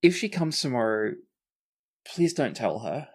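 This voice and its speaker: A man playing an earnest, weary man in a tabletop game, imploring and halting. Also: medium pitch, big pitch swings, husky. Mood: pleading, weary.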